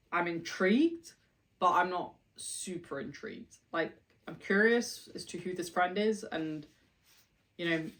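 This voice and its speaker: Low-voiced woman book vlogger, off-mic. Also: a bit bright, distant mic. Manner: chatty, reads passages aloud with enthusiasm. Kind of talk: casual chat with read-aloud passages.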